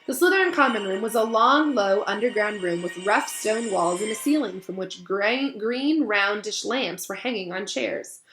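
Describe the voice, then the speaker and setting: A woman with a middling pitch speaks like a schoolteacher reading to her class. Her delivery is expressive and affectionate, aimed straight at children. Music is clearly audible behind her. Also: moderate pace, hardly any pauses. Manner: addresses children directly, encouraging and affectionate. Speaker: teacher reading aloud to pupils. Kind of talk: expressive storybook read-aloud.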